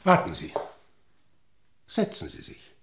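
A man's voice: takes it slowly, noisy background, natural voice. A man playing a lonely, lecherous middle-aged boss in a radio play, patronising and wheedling. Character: lonely, lecherous older office boss wooing his young typist. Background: music.

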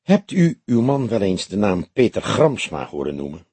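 A man's voice: on the low side, big pitch swings, a little mellow, breathy edge, no echo, heavily acted. A man voicing a weary old police detective who speaks formally and carefully in an audiobook. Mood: probing. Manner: formal, measured, cautious.

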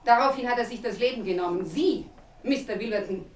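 Echoey, vengeful widow, played by an actress. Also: female voice, a little high, recorded from a distance, slight background noise, lightly acted. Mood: bitter, menacing. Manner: deliberate, accusing, menacing. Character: bitter vengeful widow, coldly resolute. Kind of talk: radio play acting.

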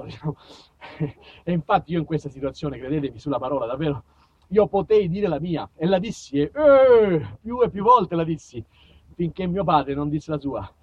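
A man telling a comic stage monologue in an emphatic, dramatic manner, moving between hushed and loud delivery. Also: fairly high pitch, gravelly, no echo, lo-fi sound. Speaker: solo storyteller and actor. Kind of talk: theatrical monologue, comic storytelling.

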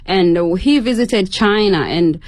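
A woman with a Tanzanian accent explaining things conversationally as a radio interview guest, pausing between phrases. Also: medium pitch, animated, darkish tone, no echo. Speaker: interview guest, PhD student.

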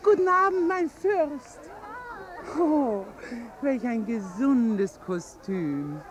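An actress playing a gushing, snobbish high-society lady in a 1950s German radio drama, effusive, affected and chatty. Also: animated, extremely slow. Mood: gushing delight.

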